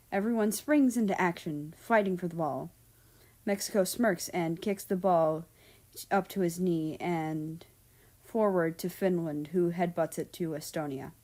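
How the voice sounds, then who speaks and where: A woman narrating fiction as an amateur reader, expressive and unhurried, acting out dialogue as she goes. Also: fairly low pitch.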